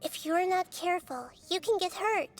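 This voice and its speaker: A woman voicing a gentle, wise young girl deity in a game, speaking calmly and thoughtfully. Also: extremely high pitch, moderate pace. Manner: calm, thoughtful, softly cautioning. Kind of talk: game cutscene dialogue. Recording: game audio with music bed.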